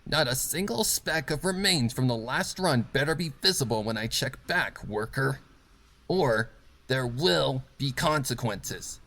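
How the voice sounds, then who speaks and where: A man's voice: medium pitch, animated, measured pace, fairly bright tone, voice pushed up. A man voicing a cold, domineering female pegasus factory boss in a fan story reading, giving commanding, menacing orders. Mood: stern, threatening.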